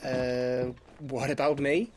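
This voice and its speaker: A man's voice: medium pitch, flat delivery, takes it slowly, close to an everyday voice. A man playing a cheeky, loyal young scout in a video game, with an informal, slightly grumbling delivery. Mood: mild grumbling. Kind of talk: dramatic video game cutscene acting.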